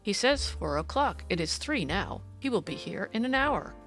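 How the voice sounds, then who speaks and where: A woman voicing a keen, assured Victorian gentleman detective in an audiobook, formal and precise in speech. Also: mid-range voice, big pitch swings, moderate pace, soft background music. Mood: matter-of-fact. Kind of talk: audiobook character dialogue.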